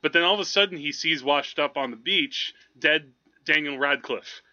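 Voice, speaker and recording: Very bright, higher-pitched man chatting about films. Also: moderate pace, highs cut off. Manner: rambling, enthusiastic explaining, frequent "like". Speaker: podcast co-host. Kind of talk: casual film chat.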